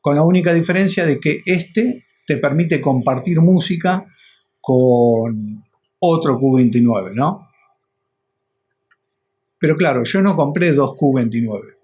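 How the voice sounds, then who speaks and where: A man's voice: fairly high pitch, expressive, takes it slowly, pauses often, a little mellow, husky. A man with a Rioplatense Argentine accent, talking casually and rambling a little as he explains things as a motorcycle vlogger.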